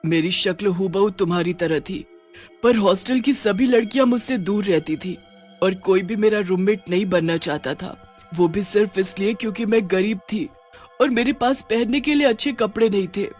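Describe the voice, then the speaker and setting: A woman acts out a possessive, menacing young ghost witch from a cartoon horror tale. She draws her words out slowly and tauntingly. Music plays underneath. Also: medium pitch, a little mellow, lightly acted. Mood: brooding. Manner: slow, drawn-out, taunting with gloating laughs. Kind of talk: Hindi cartoon horror story acting.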